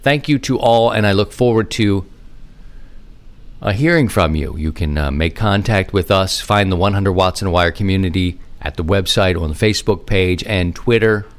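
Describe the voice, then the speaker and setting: A middle-aged man hosting a hobby podcast alone, talking in a casual, rambling, conversational way with animated asides. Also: low-pitched, moderate pace. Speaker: amateur radio podcast host. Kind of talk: casual solo podcast monologue.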